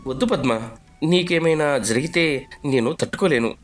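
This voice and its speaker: An actor voicing a caring, anxious young husband and son in an animated village story, earnest and concerned. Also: male voice, on the high side, normal speed, soft background music, lightly acted.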